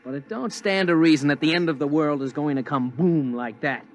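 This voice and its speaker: A man's voice: fairly high pitch, brisk, natural voice. A man playing a blunt skeptical everyman. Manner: folksy, dismissive. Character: blunt streetwise middle-aged everyman, skeptical. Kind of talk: 1950s radio drama acting.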